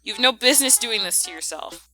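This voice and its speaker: Bright, sassy young woman ranting. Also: on the high side, animated, fairly quick, faint music behind. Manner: exasperated, sassy, opinionated. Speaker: video creator. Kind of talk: casual scripted rant commentary.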